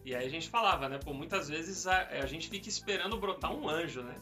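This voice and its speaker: A man's voice: a little high, expressive, a little fast, pushed and bright. A Brazilian man co-hosting a podcast, discussing religion casually in an informal, slangy way, repeating phrases for emphasis. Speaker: podcast co-host. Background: music under the voice.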